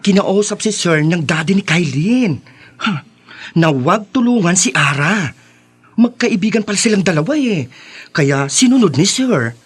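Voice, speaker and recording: A man's voice: sits high, expressive, unhurried, breathy edge, full character voice. An actor, a man with a Filipino accent, voicing a gossipy, flamboyant and playfully indignant young student in a radio drama. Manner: Taglish, sassy gossiping.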